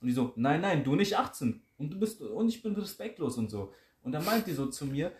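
A man's voice: fairly high pitch, sing-song, brisk, roomy sound, a little hiss or hum. A young man telling a story in casual slangy banter with friends. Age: young adult. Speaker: friend in group chat.

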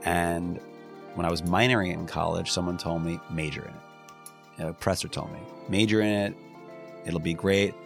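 A man's voice: low voice, steady tone, a little fast, creaky, soft background music. An American man, a lawyer, talking earnestly in an interview about his career, often restarting his words and adding asides. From United States. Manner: frequent restarts and "you know" asides, earnest. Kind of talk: reflective casual interview talk.

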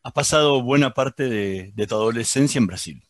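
A man interviewing a guest, asking questions in a halting way that repeats words as he starts. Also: medium pitch, dry recording, studio quality.

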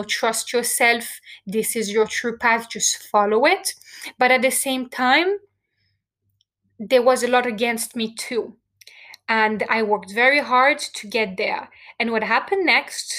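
Woman host, French accent, earnest heartfelt delivery, voice sitting a touch high, talks straight to listener. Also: a bit bright. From France. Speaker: podcast host. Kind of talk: personal reflective monologue.

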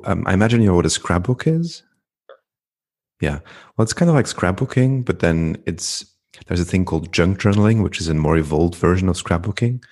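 A middle-aged man from Flanders, speaking as a podcast guest in a reflective, unhurried way with frequent ums. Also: low voice, darkish tone. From Belgium (Flanders). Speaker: guest, entrepreneur and coach. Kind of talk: podcast interview conversation.

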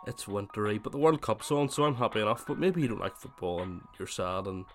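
A man with a cold hosting his gaming podcast, chatting casually and then reading a scripted essay in steady narration. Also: on the low side, a little fast, soft background music. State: has a cold, voice slightly worn. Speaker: podcast host.

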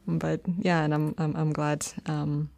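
A woman's voice: deep voice, monotone, a little slow, creaky, dark tone. A woman interviewing a friend in a casual conversation, encouraging her and laughing often.

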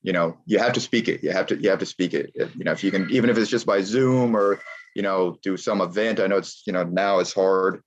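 An American man with a very deep voice talks as an interview guest. He speaks emphatically and circles back to repeat his points. The sound is muffled and lo-fi. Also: a little fast. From United States. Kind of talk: casual interview chat.

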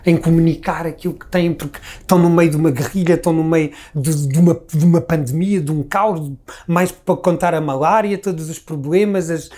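A man's voice: on the high side, fairly even intonation, a little fast, warm tone. A middle-aged man from Lisbon with a Lisbon Portuguese accent, talking as a guest in a casual, reflective conversation full of restarts. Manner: reflective, rambling with many restarts.

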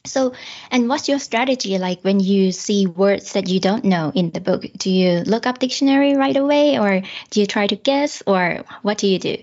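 A woman's voice: mid-range voice, normal speed, a little mellow. A woman co-hosting a casual chat, explaining things thoughtfully and asking questions. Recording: dull lo-fi sound.

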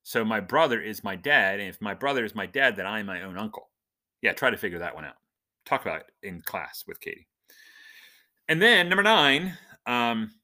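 A man with a fairly low voice that comes across bright and punchy. He hosts a podcast and speaks in a nerdy, jokey way. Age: adult, husband and father. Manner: jokey, self-described nerd. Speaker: podcast host and church minister. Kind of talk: casual humorous podcast monologue.

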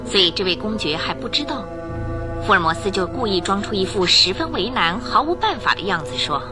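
A woman narrating a radio drama with expressive storytelling. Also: mid-range voice, brisk. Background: music.

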